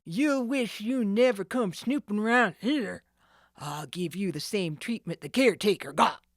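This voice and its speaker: A woman's voice: medium pitch, lively intonation, measured pace, no echo, studio quality. Woman doing a snarling male thief. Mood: menacing. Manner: snarling, sneering, threatening. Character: snarling menacing male thief, a gang ringleader.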